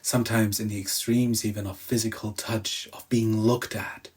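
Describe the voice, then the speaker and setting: A young German-accented man talks slowly through a reflective lesson on inner life, steady and gently earnest. His voice sits a little low and has a soft, husky edge. He sounds like a coach guiding listeners patiently. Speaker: emotional release coach. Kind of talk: reflective teaching monologue.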